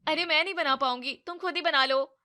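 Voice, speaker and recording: A woman's voice: on the high side, lively intonation, fairly bright tone, pitched above the performer's own voice. A woman voicing a cheeky, outspoken young woman who switches between sweet politeness and sass. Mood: rude. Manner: cheeky, quick-tongued.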